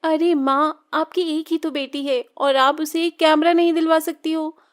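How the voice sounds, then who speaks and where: Woman as a high-voiced, pouty young cartoon daughter. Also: fairly even intonation, measured pace. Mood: pouty, stubborn. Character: spirited, pouty young daughter, pleading and stubborn. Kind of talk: children's cartoon story acting.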